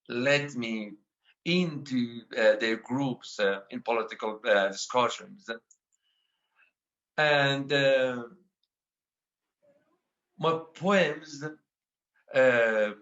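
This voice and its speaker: Slow, halting Afghan poet with an Iranian accent. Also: male voice, mid-range voice, a bit bright, highs cut off. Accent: Iranian-accented English. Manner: halting, many filler pauses. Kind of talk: panel talk about his life and poetry.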